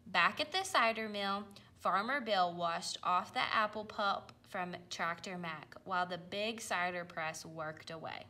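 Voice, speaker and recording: A woman reading a children's picture book aloud, with careful, expressive delivery. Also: fairly low pitch, measured pace, few pauses. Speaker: storybook reader.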